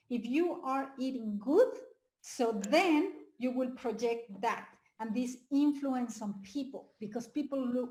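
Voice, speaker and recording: Woman life coach, Spanish-speaking, Mexican accent, high-pitched, upbeat, keeps quizzing the audience, roomy echo. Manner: energetic, asks the audience questions, nonnative phrasing. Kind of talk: live webinar talk.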